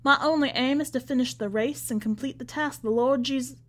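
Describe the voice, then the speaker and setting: A woman's voice: high voice, fairly quick, pitched above the performer's own voice, lightly acted. A woman voicing a rambling, devout, evasive church woman with folksy speech in an audiobook story. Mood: explaining. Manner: chatty, folksy Southern-style church talk. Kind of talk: acted storybook dialogue.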